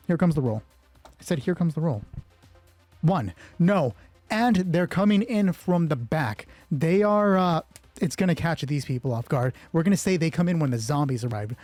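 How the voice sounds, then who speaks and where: A man narrating a solo tabletop roleplay and thinking aloud in a casual, sweary way, with a husky voice. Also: fairly high pitch, dark tone, no room sound. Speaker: solo roleplay game master. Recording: background music under the voice. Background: music.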